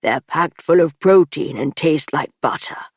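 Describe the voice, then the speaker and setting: A woman voicing a calm, kindly bear creature who cooks for the group, in a low reassuring voice. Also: a little slow, breathy edge, dry recording, studio quality, exaggerated voice. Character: calm, kindly bear-folk camp cook.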